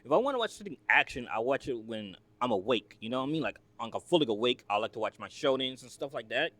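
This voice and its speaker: A man's voice: medium pitch, animated, fast, pushed and bright, no room sound. A man from the United States hosting a reaction video, talking casually in a rambling, filler-heavy, chatty way.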